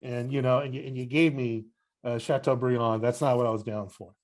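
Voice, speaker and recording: A man's voice: mid-range voice, fairly even intonation, brisk, a little mellow. A man talking as a guest on a podcast, a book critic who rambles with lots of "you know" and restarts. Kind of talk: casual podcast conversation.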